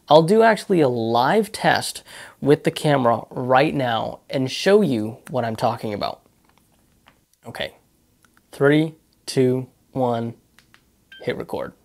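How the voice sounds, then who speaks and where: A man's voice: medium pitch, darkish tone. A man giving a casual spoken review of a camera to his viewers, talking conversationally into a lavalier mic and offering direct advice. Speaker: tech product reviewer.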